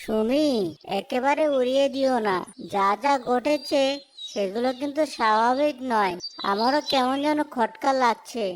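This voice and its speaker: A man's voice: very high-pitched, measured pace, a little hiss or hum. A man voicing a cautious young husband in a cartoon, with a hesitant, worried way of talking. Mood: uneasy. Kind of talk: cartoon voice acting.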